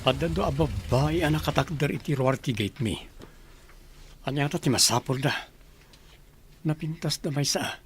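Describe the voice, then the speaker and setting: A man's voice: a little high, normal speed, pauses often, slight background noise, close to an everyday voice. A man playing a blunt, commanding wealthy mansion owner in a radio comedy drama. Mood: stern. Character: wealthy male mansion owner, childless husband.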